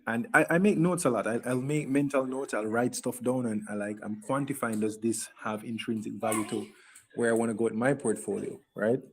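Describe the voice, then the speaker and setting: A man's voice: mid-range voice, fairly even intonation, brisk. A man in a casual voice chat, reflective and giving advice, often repeating words as he talks. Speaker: crypto chat participant.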